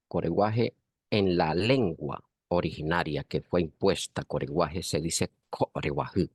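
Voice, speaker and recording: Hombre de voz muy grave y ronca. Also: male voice, sits low, slightly rough, no echo, clean studio sound. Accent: Colombian. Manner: measured and earnest, stressing points with repetition. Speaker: participant in a university class. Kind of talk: spoken comment in an online class discussion.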